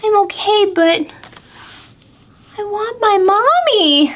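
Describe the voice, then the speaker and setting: A woman voicing a small lost baby owl who whimpers for its mother in a high childlike voice. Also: big pitch swings, takes it slowly, fairly bright tone, slight background noise, exaggerated voice. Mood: plaintive.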